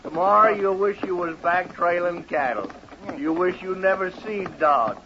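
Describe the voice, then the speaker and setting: An actor playing a rowdy, cocky Texas cowhand with a folksy drawl in a 1950s radio western. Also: male voice, high-pitched, unhurried. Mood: boisterous, merry. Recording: muffled, noisy old 1950s radio recording.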